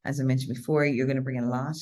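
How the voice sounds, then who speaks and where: A woman with an Irish accent interviewing a guest over a video call, chatty and quick to repeat herself. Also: a bass voice. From Ireland. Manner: chatty, repeats words when excited.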